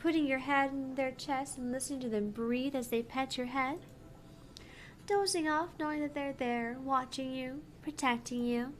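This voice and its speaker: Woman putting on a high-voiced playful young hacker woman. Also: normal speed, lightly acted. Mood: dreamy, wistful. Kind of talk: visual novel voice-over read-aloud.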